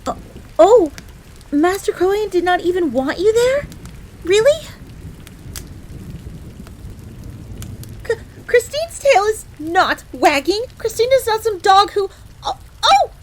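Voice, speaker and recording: A woman's voice: very high voice, measured pace, heavily acted. A woman playing a proud, easily flustered fox-girl maid in a roleplay audio drama, speaking formally in the third person with stammers. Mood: flustered, indignant. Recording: steady rain noise throughout. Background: rain ambience.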